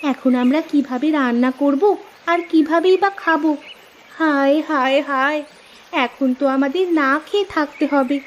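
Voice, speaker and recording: A woman's voice: high voice, moderate pace, lo-fi sound, a little hiss or hum, close to an everyday voice. A woman voicing an anxious, gloomy sister who frets and laments in a scripted cartoon story. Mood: lamenting. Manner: worried, lamenting, complaining. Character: anxious, gloomy sister fretting over the household.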